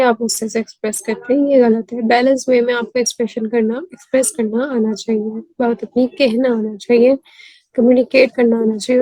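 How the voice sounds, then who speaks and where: A Pakistani woman hosting a mental health series, hoarse from a sore throat, explaining earnestly as she switches between Urdu and English. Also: on the high side, warm tone, no echo, rough recording. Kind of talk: self-help talk to camera.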